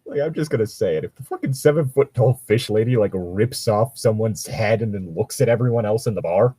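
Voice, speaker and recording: Husky low-voiced man, wry and animated. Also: fairly quick, dry recording. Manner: profane, wry, joking. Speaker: co-host reading online tabletop gaming horror stories. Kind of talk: casual banter mixed with reading posts aloud.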